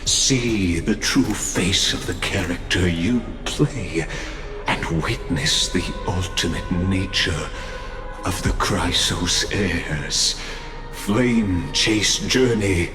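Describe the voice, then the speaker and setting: An actor playing a grandiose, sardonic master of ceremonies who savors each phrase in a dramatic video game monologue. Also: male voice, sits low, extremely slow. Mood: ominous, knowing. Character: theatrical, sardonic male master of ceremonies guiding a visitor. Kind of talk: dramatic scripted game monologue, quasi-Shakespearean. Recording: echoing voice over loud music.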